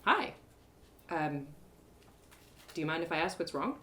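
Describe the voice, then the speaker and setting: A woman voicing an earnest, awkward and excitable young woman adventurer in an audio story. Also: sits low, a little slow, slight background noise, heavily acted. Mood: curious, awkward. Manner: hesitant, rambling, emotional.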